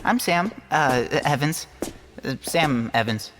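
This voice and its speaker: Woman acting an awkward, expressive teenage boy. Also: deep voice, slow pace, music in the background. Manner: hesitant, sheepish, defensive. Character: awkward, earnest teenage boy obsessed with the paranormal. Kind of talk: audio drama acting.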